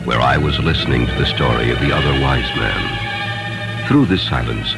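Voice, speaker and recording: A man's voice: extremely low pitch, normal speed, gravelly, loud music behind the voice. A man narrating a literary story from a script in a solemn, formal storytelling manner.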